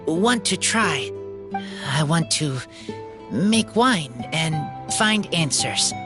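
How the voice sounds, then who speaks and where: A woman voicing an earnest, simple wild teenage boy in a video game, speaking in halting, clipped broken sentences. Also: low voice, big pitch swings, heavily acted. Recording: music behind the voice.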